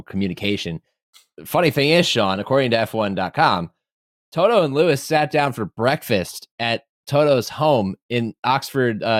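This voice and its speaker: A man's voice: lowish voice, no echo, studio quality. A man co-hosting a motorsport podcast, chatting casually and joking with lots of laughs. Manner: animated, laughs often, jokey asides. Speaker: podcast co-host. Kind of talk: casual chat.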